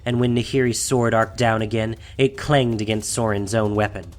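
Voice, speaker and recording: A man's voice: on the low side, little pitch movement, moderate pace. A man reading scripted fantasy fiction as the narrator of an audio drama, in a dramatic storytelling style. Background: faint music.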